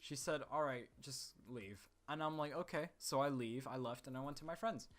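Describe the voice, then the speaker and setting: A teenage boy from the Czech Republic recounts a school story in a loose, rambling way. His voice sits at a middle pitch, and he keeps circling back with fillers and half-finished restarts, like a casual gaming storyteller at his desk mic. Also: normal speed. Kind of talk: casual story time over gameplay.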